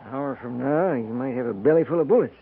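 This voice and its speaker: A narrow, faintly hissy vintage radio recording carries an actor playing a frontier cavalry trooper. The man has a medium-pitched rural American voice, with a dry, resigned, laconic delivery. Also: fast, vocal fry, close to an everyday voice. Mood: wry, resigned. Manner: laconic, wry. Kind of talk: 1950s radio drama acting. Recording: tinny, band-limited, noisy 1950s radio recording.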